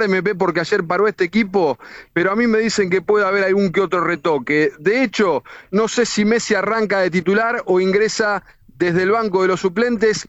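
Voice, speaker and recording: A male football correspondent with a distinctly high voice. He reports live over a dull, very dry feed. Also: fairly bright tone, no room sound. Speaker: sports correspondent. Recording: muffled, dry remote feed.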